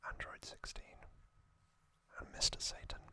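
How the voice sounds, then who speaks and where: An ASMR host whispering in a breathy, unvoiced voice, with hushed, deliberate phrasing and long pauses. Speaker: host of an ASMR trivia channel. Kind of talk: ASMR, whispered reading and commentary.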